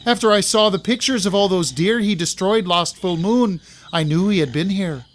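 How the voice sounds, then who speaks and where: A cold, menacing werewolf hunter , acted out by a man for an audiobook. The voice sits high and carries a taunting, condescending edge, as if every line is a threat. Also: moderate pace, slight background noise, natural voice. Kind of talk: dramatic audiobook character acting.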